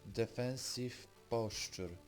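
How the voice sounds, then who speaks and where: Slow-talking Polish man commenting on gameplay. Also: mid-range voice. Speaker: streamer playing a game. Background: game music.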